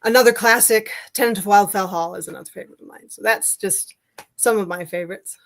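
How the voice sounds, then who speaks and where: A woman's voice: medium pitch, fairly quick, fairly bright tone. A woman chatting casually as an interview guest, a little hesitant as she searches for words. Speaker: guest writer.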